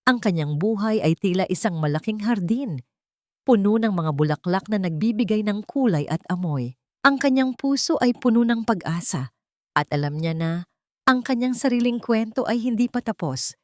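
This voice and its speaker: A woman's voice: medium pitch, animated, normal speed, a little mellow, no room sound, studio quality. A woman with a Filipino accent reading a scripted dramatic story as its narrator.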